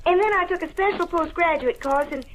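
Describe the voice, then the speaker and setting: A very high, girlish voice: a flighty belle in a vintage radio mystery. She wheedles coyly, with faint noise on the old recording. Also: fairly quick, rough recording. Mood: earnest explaining. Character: coy, flighty Southern belle wife, a murder suspect. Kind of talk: old-time radio mystery comedy acting.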